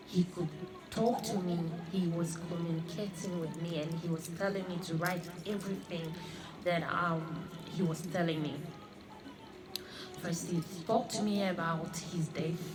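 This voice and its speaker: A woman in her thirties, born in Congo and raised in Australia, giving an earnest personal religious testimony and often halting to repeat her phrases. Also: fairly low pitch, low-quality recording. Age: 30s. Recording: music playing under the voice. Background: clear background music.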